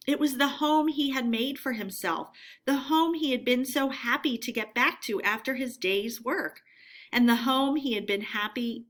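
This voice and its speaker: A woman reading aloud as a teacher, narrating a storybook expressively and deliberately. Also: on the high side, fairly bright tone.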